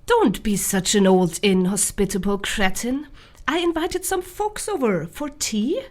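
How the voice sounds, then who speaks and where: A woman's voice: medium pitch, expressive, measured pace, a little mellow. A woman playing a sociable, teasing Gothic wife in a radio drama, chatty and chiding. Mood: teasing, coaxing.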